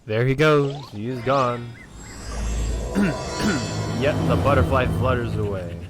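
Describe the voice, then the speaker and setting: A man's voice: medium pitch, takes it slowly. A man giving casual commentary as he plays through a video game. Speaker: gamer recording a playthrough. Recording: loud game music behind.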